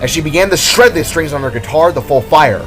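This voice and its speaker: A man's voice: fairly high pitch, animated, fairly quick. A man reading scripted adventure fiction as the narrator, in a dramatic storytelling style. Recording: background music under the voice. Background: music.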